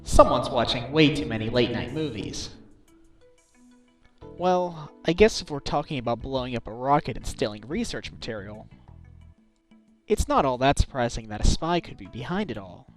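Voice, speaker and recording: Man voicing earnest young game lawyer, medium pitch, determined, probing, dramatic, faint music behind. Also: very animated, lightly acted. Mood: wry. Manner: deliberate, dramatic accusations. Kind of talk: video game fandub acting. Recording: amateur recording with music.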